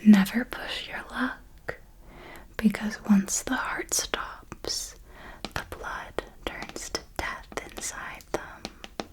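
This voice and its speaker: A woman playing a possessive, commanding vampire mentor in a whispered ASMR roleplay, speaking in a breathy, deliberate, coaxing way. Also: medium pitch, close to an everyday voice. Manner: slow, deliberate, coaxing and instructive.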